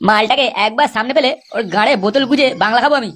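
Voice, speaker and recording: A performer voicing a foul-mouthed, hot-headed, excitable cartoon troublemaker, crude and blustering. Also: medium pitch, rapid-fire, natural voice. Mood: furious boasting. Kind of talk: comic cartoon dialogue. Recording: clearly noisy cartoon soundtrack.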